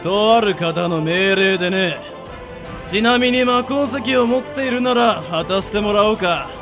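Man playing creaky, slow, menacing bandit sorcerer. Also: sits high. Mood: cool menace. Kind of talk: amateur radio drama acting. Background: music.

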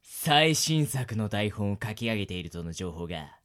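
A man's voice: fairly low pitch, very animated, normal speed, a bit bright. A man co-hosting a casual radio group chat, bantering in a polite, agreeable way. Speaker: radio show co-host.

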